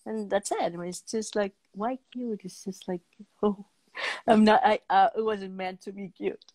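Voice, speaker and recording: A middle-aged woman hosting a casual interview, speaking in halting, fragmentary phrases. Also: medium pitch, fairly even intonation, darkish tone, no room sound. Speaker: talk show host. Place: indoor room, video call.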